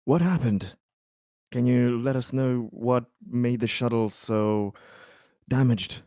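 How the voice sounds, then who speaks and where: A man's voice: mid-range voice, slow, warm tone, no echo, clean studio sound. A man playing a tabletop roleplaying game, casually putting questions to the other characters.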